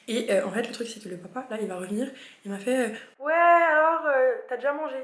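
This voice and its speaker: A young woman vlogging as an au pair, chatting in a lively, slangy way. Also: high-pitched, fast. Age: young adult. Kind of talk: casual chat. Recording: very echoey room with music.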